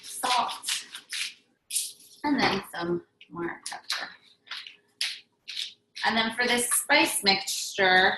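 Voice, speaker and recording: A woman's voice: lowish voice, sharp tone, reverberant, a little hiss or hum, rough recording. A young woman whose first language is Hebrew, chatting enthusiastically while teaching a cooking class in a home kitchen, with lots of ums and restarts. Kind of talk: casual cooking demonstration.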